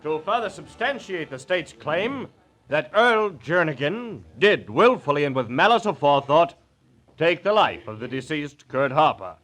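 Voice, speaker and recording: A man playing a formal, forceful state prosecutor in a declamatory 1940s radio drama style. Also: a little high, lively intonation, moderate pace, faint music behind. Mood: formal, probing. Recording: old radio recording with faint noise.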